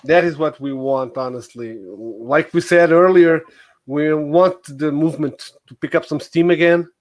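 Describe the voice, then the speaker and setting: A man's voice: on the high side, measured pace, darkish tone, no room sound. A man from Portugal with a Portuguese accent, hosting a casual interview and putting questions to a guest. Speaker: stream host, interviewer.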